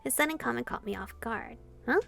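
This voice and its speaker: A woman with a higher-than-average voice and plenty of vocal fry reads a visual novel aloud. She slips between expressive narration and casual, animated reactions. Game music plays behind her. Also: brisk. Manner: animated reading, reacting informally to the story. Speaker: let's-player reading a visual novel aloud.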